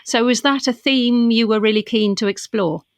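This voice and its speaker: A woman's voice: a little high, normal speed, dry recording, studio quality. A woman hosting a conversational book interview, asking thoughtful questions in a slightly hesitant way. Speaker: interviewer, podcast host.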